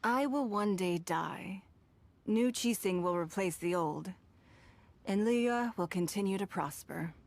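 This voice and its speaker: A woman playing a poised, authoritative and protective noblewoman in a video game, measured and formal. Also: mid-range voice, gravelly. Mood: solemn.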